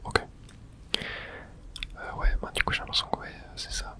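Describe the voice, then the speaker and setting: Someone whispering throughout an ASMR let's play, narrating the game and reading its texts aloud in a hush. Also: moderate pace. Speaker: host playing and narrating a game.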